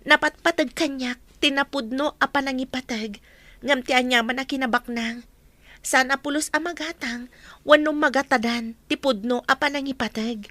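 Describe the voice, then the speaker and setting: High, bright young heiress. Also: female voice, moderate pace, no echo. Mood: tender, earnest. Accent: Filipino. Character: young woman heiress, kind new boss. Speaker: radio drama actor. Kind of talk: radio drama acting.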